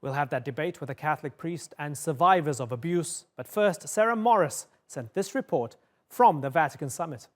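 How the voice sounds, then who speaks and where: A man hosting a news programme, interviewing a guest and reading scripted introductions in a crisp anchor manner. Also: on the high side, expressive, moderate pace. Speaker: news show host.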